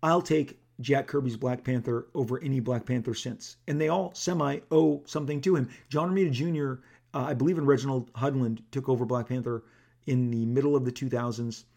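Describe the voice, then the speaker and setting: A man talking casually about comics with a gravelly, throat-clearing voice, rambling with lots of restarts and fillers. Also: medium pitch, steady tone, fairly quick, darkish tone. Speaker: comic book artist. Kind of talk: casual talk to camera.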